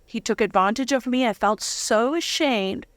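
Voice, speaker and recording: A woman's voice: a little high, moderate pace, no echo. A woman telling her personal testimony as an interview guest, with dramatic, candid storytelling and slangy humour.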